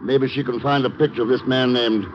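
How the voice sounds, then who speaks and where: An actor playing a cool, quick-witted American intelligence agent in a 1950s radio drama. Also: male voice, mid-range voice, steady tone, natural voice. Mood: cool, brisk. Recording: muffled, hissy vintage radio broadcast.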